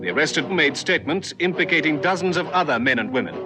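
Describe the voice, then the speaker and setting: A man's voice: on the high side, big pitch swings, quick pace. A man narrating a police radio drama in a grave, formal, scripted style. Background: music.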